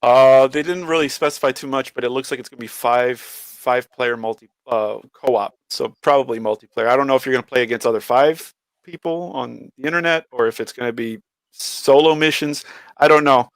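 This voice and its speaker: A man's voice: medium pitch, brisk, dry recording. A man co-hosting a podcast in casual banter, talking in a slangy way and swearing freely. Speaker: podcast co-host.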